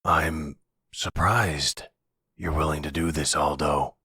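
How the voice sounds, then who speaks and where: Man acting as a very deep, husky, weary mafia exile. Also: steady tone, sharp tone, no room sound, studio quality, exaggerated voice. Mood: grudging. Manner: resigned, slow, brooding. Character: weary, bitter exiled mafia father on the run. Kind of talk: ASMR audio roleplay drama.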